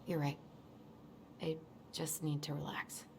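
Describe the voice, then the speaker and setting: A woman's voice: deep voice, little pitch movement, measured pace, noisy background. A woman playing an anxious, weary young American woman in a video game, speaking in a hesitant, subdued way. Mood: tired, grateful. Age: young adult. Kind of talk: naturalistic video game dialogue.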